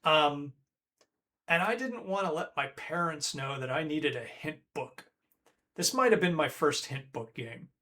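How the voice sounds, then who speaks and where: A man's voice: on the high side, animated, unhurried, sharp tone. A man, hosting a retro game stream in a rambling, chatty way that keeps correcting itself. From Northern Ireland. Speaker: streamer host.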